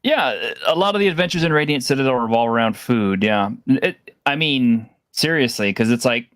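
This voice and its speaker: A man's voice: mid-range voice, lively intonation, moderate pace, a bit bright, dry recording, studio quality. A man in a casual stream chat, chatty and joking.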